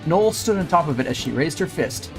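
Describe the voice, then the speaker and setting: A man's voice: a little high, lively intonation, a little fast. A man streaming a visual novel, reading the story aloud and putting on voices for the characters. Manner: puts on character voices for dialogue. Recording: music under the voice.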